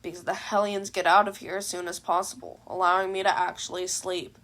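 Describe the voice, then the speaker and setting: Female reader as exasperated man, teacher, audiobook character, lowered pitch, deadpan, curt, weary. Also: brisk, lightly acted. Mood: weary, irritated. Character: tired, grumpy adult male teacher, gruff and exasperated. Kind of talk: fan fiction audiobook character voice.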